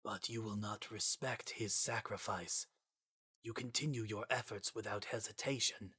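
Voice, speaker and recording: A stern spectral scientist voiced by a man with a bright, incisive tone. He lectures in grave, formal language, passing solemn judgment in a dramatized fantasy story. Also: fairly low pitch, steady tone, moderate pace, slightly rough, heavily acted. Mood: stern, judgmental. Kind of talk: dramatic reading of fan fiction.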